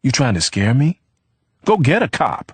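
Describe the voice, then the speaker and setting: A husky-voiced man playing a hot-tempered young man who taunts with coarse street talk in a storybook reading. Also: medium pitch, very animated, moderate pace, no room sound, pitched above the performer's own voice. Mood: hostile.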